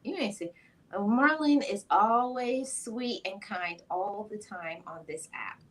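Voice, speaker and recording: A woman's voice: mid-range voice, a little slow. A woman from Jamaica hosting her vlog, chatting playfully and defending herself with a laugh. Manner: playful, insists she is sweet and shy. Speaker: vlogger host. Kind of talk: casual chat.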